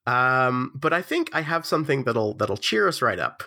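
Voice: medium pitch, sing-song, moderate pace, bright, forceful tone. A podcast host chatting casually while still congested from the flu, rambling with lots of fillers and restarts. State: stuffy, congested from a cold.

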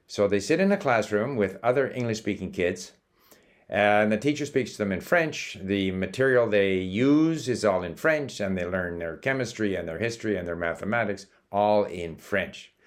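An older man, born in Sweden and raised in Canada, hosts a language-learning video and explains things calmly, with small hesitations and restarts. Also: fairly low pitch, moderate pace. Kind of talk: casual talking-to-camera monologue.

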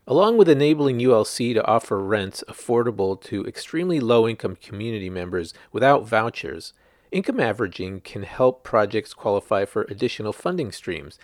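Low-voiced male reporter, lively reading. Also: no room sound, clean studio sound. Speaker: reporter reading own article. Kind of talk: scripted narration, article reading.

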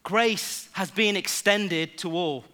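A young man from England, preaching a sermon to his church in a lively way and joking with the congregation. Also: sits high, slow.

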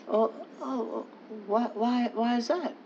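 A man's voice: very high-pitched, slow pace, creaky, a little mellow, full character voice. An actor voicing a timid, nervous errand boy with a thin boyish voice and a heavy stammer, in radio comedy. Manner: heavy stammering, hesitant, deferential. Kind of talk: old-time radio comedy acting. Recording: dull lo-fi sound, faint noise.

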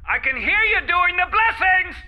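An actor playing a placating, wheeling-and-dealing showbiz executive who hedges in clipped replies in an audio drama. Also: male voice, very high-pitched, animated, normal speed, lo-fi sound. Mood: placating, harried. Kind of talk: audio drama phone conversation.

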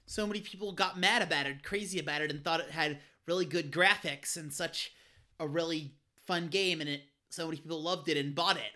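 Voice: medium pitch, fairly even intonation, fairly quick, sharp tone. A podcast co-host chatting casually, giving short, eager answers in loose phrasing.

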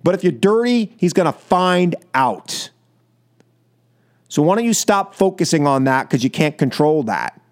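A high-voiced American man hosts a political radio show. He delivers his opinions as an emphatic, scolding monologue. His points come in short phrases that he repeats for force. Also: sing-song, a little slow. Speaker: radio talk show host.

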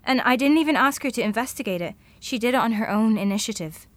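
A woman's voice: a little high, lively intonation, quick pace, no echo, lightly acted. A woman playing a thoughtful, idealistic futurist in an audio drama, speaking in a reflective, earnest manner.

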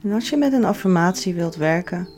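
A woman with a slightly low voice leads a guided yoga relaxation. She gives soothing, measured instructions and leaves pauses between phrases. Faint music plays behind her. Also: expressive, a little mellow. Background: background music.

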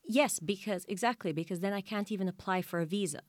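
A woman's voice: medium pitch, fast talker, dry recording. A woman born in Sweden, a guest on a studio discussion, making firm and precise points. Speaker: panel guest.

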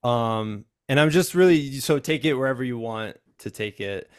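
A middle-aged man hosting a casual interview, with frequent short agreements and lively reactions. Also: medium pitch, normal speed, clear, resonant tone, no room sound, studio quality. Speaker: podcast host.

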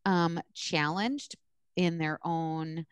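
A woman's voice: fairly low pitch, steady tone, drawn-out words, smooth, no room sound, studio quality. A woman from the US East Coast speaking bluntly and candidly in an interview, with emphatic, conversational answers like an instructor explaining her work. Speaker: social work supervision instructor.

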